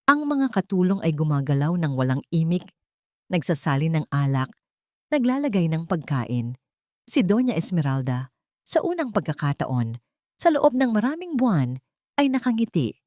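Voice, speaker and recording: A woman's voice: low voice, darkish tone, no echo, clean studio sound. A woman with a Filipino accent giving a scripted, dramatic narration as a storyteller.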